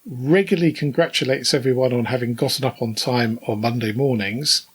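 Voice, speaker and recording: A mature British man with a slightly husky voice chats as a podcast co-host. He tells stories at a measured, conversational pace of thought, dropping in wry asides. His pitch is average for a man. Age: middle-aged or older. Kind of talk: casual podcast chat with anecdotes.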